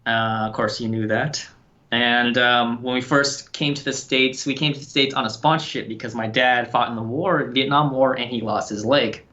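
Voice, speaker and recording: A man co-hosts a podcast, chatting loosely and rambling through fillers and restarts. His voice is bright and sharp, heard through a somewhat dull, lo-fi recording. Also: medium pitch, steady tone, moderate pace. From Thailand. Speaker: podcast co-host. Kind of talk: casual chat.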